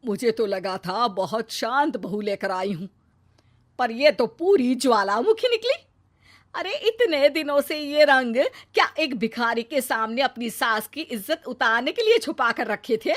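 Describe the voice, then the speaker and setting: A woman voicing a stern, sharp-tongued middle-aged mother-in-law in an animated moral story, sarcastic and easily offended. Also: fairly high pitch, a little slow.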